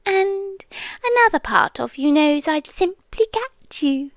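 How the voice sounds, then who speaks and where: A woman with a British accent voicing a possessive, doting vampire girlfriend in intimate ASMR roleplay, breathy and often whispering close to the microphone. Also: sits high, animated, no echo, heavily acted. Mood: playful, menacing. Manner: slow, lingering, teasing menace. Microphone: close microphone, dry.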